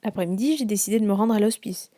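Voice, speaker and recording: Actress as a mellow, composed woman village doctor. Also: medium pitch, dry recording, studio quality, natural voice. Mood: concerned, reflective. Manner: measured, questioning. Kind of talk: audio fiction acting, journal narration.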